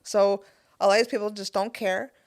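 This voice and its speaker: A woman's voice: mid-range voice, flat delivery, brisk. A Canadian woman talking as a podcast guest in casual conversation, telling her story in an earnest, emphatic way.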